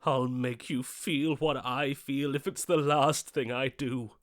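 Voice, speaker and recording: Slow, melodramatic lovesick romantic hero. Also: male voice, mid-range voice, fairly even intonation, fairly bright tone, dry recording, studio quality. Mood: vengeful grief. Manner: theatrical, florid, archaic declamation. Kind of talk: video game voice acting.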